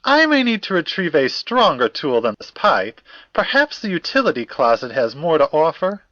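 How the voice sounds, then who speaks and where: A man's voice: a little high, wildly swooping pitch, normal speed, bright, forceful tone, no room sound, muffled recording, heavily acted. A man playing a fussy, courtly academic in an audio drama, speaking in formal, wordy sentences with old-fashioned politeness. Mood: earnest, polite.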